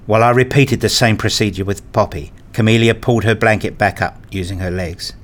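A man with a fairly low voice narrates a radio drama in the first person. His delivery is measured and understated, with a literary feel. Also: steady tone. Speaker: narrator and lead character, a father.

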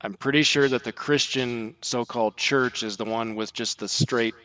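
A man's voice: medium pitch, steady tone, moderate pace, pushed and bright, rough recording. A man speaking as a podcast guest, giving conversational religious commentary in an earnest, explanatory way.

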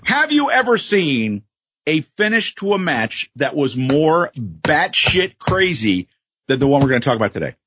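A man co-hosting a casual wrestling podcast, jokey and animated, with frequent fillers and self-corrections. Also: on the high side, normal speed, slightly rough, no echo, muffled recording. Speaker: podcast co-host.

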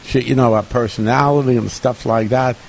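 A man hosting a TV talk show, speaking in a relaxed, reflective way. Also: mid-range voice, fairly quick, gravelly, slight background noise. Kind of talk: casual talk-show chat. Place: TV studio.

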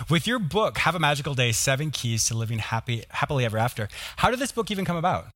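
Male interview host, fast and animated, dry close sound. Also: medium pitch, dry recording. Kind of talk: scripted introduction and conversational interview.

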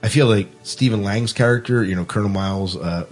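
A man's voice: fairly low pitch, fairly quick, faint music behind. A man hosting a podcast, casually discussing a film and often repeating phrases as he works out his point. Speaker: podcast host.

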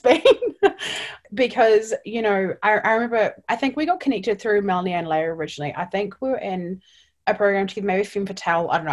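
A woman hosting a podcast, talking in a gushing, enthusiastic way with many "likes". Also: mid-range voice. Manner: gushing, enthusiastic, says "like" often. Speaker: podcast host. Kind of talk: upbeat podcast chat.

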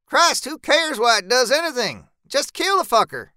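Casting: impatient male monster hunter for an audiobook, curt, snappy, pitched high, as a male narrator plays him. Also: very animated, normal speed, sharp tone, smooth, dry recording, studio quality, exaggerated voice. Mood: irritated, impatient. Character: snappish, impatient, foul-mouthed man hunting monsters.

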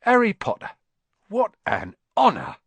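A man's voice: high-pitched, huge pitch swings, a little slow, creaky, fairly bright tone, breathy edge, dry recording, pitched above the performer's own voice, heavily acted. A man voicing an elderly male barman, overcome with awe, in a storybook reading. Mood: awed. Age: older.

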